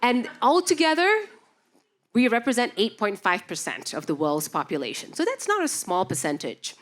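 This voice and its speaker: A woman's voice: fairly high pitch, big pitch swings, moderate pace, fairly bright tone, slight background noise. A woman who was born in Indonesia and grew up in Singapore, introducing a panel on a festival stage with an upbeat, fact-listing manner and many fillers. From Indonesia, raised in Singapore. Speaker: panel moderator. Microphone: stage microphone.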